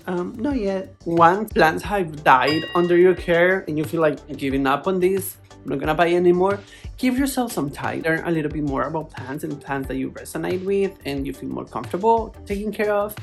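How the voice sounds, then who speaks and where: A woman's voice: fairly low pitch, expressive, normal speed, gravelly. A woman with a foreign accent in English, chatting casually and a little hesitantly in a playful, informal vlog style. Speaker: plant vlogger. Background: music.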